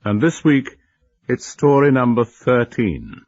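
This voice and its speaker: An actor playing a macabre storyteller host, narrating radio horror with ominous, theatrical deliberation. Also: male voice, medium pitch, sing-song, takes it slowly, a little mellow, dry recording, dull audio. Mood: macabre relish.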